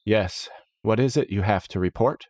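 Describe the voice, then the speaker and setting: A man's voice: lowish voice, moderate pace, darkish tone, no room sound, studio quality, pitched above the performer's own voice, natural voice. A man voicing a stern, officious steward of a lord's court, formal and commanding, in a fantasy story. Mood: impatient, dismissive.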